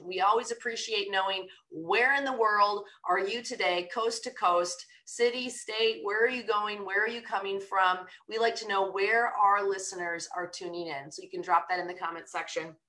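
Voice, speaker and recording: A middle-aged woman hosting a live show, chatting casually and rambling in an upbeat way, her voice raspy from a cold. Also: medium pitch, sharp tone. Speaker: podcast host. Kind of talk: casual live-stream chat.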